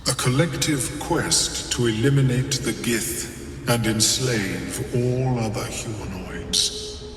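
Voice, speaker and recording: Slow, echoing, scholarly mind flayer. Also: male voice, medium pitch, fairly bright tone. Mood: composed, formal. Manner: formal, measured, clinical. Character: calm scholarly male mind flayer, detached, politely clinical. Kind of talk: video game dialogue acting. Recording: echoing hall with long reverb, faint music.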